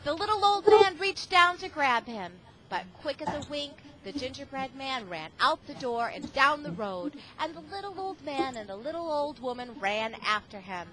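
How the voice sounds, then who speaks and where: A woman reading a storybook aloud to children in a classroom, with animated, expressive storytelling. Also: sits high, normal speed, a crowd in the background. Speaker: reading tutor and visiting parent reading to a class. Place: school classroom. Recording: very noisy amateur classroom recording.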